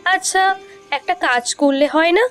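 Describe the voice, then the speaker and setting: Woman playing high-pitched, anxious village woman. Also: unhurried. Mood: worried, weary. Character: worried, cold-weary village woman, anxious. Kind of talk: scripted cartoon story dialogue. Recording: music under the voice.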